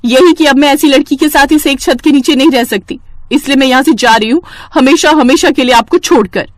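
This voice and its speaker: A woman's voice: high-pitched, fairly quick, no room sound, voice pushed up, close to an everyday voice. A woman voicing a trusting, easily hurt younger sister, a college student, in an earnest, emotional manner for a story cartoon. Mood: hurt, angry. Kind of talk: Hindi moral-story cartoon dialogue.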